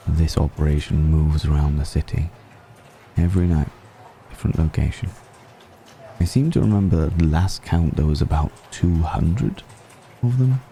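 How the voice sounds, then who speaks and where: A man playing a suave, ancient aristocratic vampire in an intimate ASMR roleplay, musing slowly and deliberately with long pauses. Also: mellow, slight background noise. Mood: courteous, secretive. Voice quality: very deep, gravelly.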